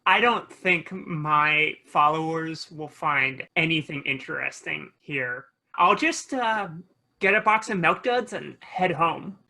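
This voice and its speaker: A performer playing a self-absorbed teenage influencer at a table read of a comedy pilot, with an unhurried, vlogger-like delivery. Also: medium pitch, animated, creaky, bright, forceful tone, heavily acted. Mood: skeptical, underwhelmed.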